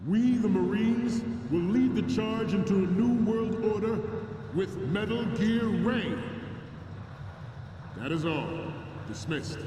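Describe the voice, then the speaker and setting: A man's voice: high-pitched, expressive, slow pace, background noise. An actor playing a proud, stern male Marine commander in a video game, with a commanding delivery. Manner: commanding, declamatory. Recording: echoey large hall, music behind.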